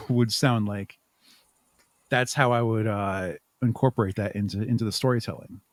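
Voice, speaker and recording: A man's voice: lowish voice, no echo. Man thinking aloud as a podcast guest, dry sound. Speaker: podcast guest, audio drama writer and producer. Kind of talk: conversational interview answers.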